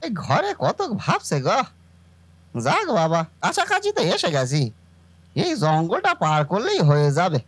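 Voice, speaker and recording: Woman voicing a lively, rustic village sweet-seller man. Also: lowish voice, measured pace, husky, dry recording, full character voice. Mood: uneasy. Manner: rustic, colloquial, muttering to self. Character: hardworking village sweet-seller man, chatty, good-natured, easygoing. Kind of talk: cartoon voice acting.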